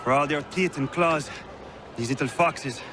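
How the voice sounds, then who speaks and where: Feisty, high-ish male brawler, acted by a man. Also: moderate pace, music in the background, close to an everyday voice. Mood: cocky, jovial. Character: feisty, defiant, hot-blooded roguish brawler man.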